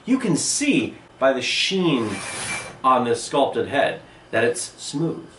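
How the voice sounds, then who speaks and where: A man's voice: mid-range voice, slow, a little hiss or hum. A man, an art teacher, giving an enthusiastic video lesson in a room. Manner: animated, enthusiastic explaining. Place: indoor room with a table and a sandstone wall. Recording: roomy, distant mic.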